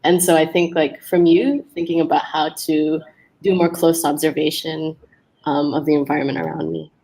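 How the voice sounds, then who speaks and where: A woman's voice: low-pitched, flat delivery, moderate pace, creaky, mellow, rough recording. A woman poet from Malaysia chatting casually on an online panel, hesitant and searching for words, laughing often. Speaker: poet guest.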